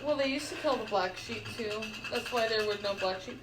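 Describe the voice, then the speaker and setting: A woman's voice: on the high side, fairly quick. A woman chatting casually and informally as she explains things to livestream viewers. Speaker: co-host of a fiber arts livestream. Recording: roomy echo, distant mic, faint noise.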